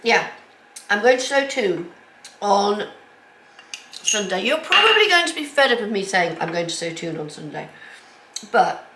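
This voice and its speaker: A woman's voice: mid-range voice, sing-song, unhurried, a bit bright. A woman with a cold, chatting casually in her kitchen for a sewing vlog, rambling with lots of ums. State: bunged up with a cold. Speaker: sewing vlogger. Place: home kitchen. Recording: echoey kitchen with faint background noise.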